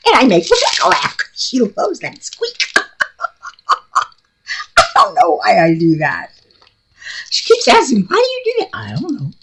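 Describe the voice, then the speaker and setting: A woman's voice: lowish voice, a bit bright, a little hiss or hum. A middle-aged woman from New York with a New York accent, chatting casually and bluntly in a craft vlog, laughing often. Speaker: craft vlogger. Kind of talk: casual rambling chat. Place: home craft room.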